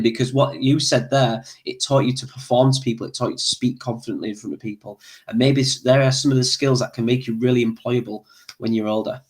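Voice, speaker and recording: A man with a northern English accent hosting a podcast, introducing and questioning his guest in a friendly, encouraging way. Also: medium pitch. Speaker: podcast host.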